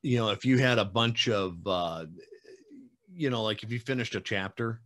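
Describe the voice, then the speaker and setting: A man's voice: fairly low pitch, moderate pace, fairly bright tone. A man in his forties hosting a casual interview over a video call from a room at home, chatty and rambling with many fillers and some teasing. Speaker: podcast host. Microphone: webcam video call.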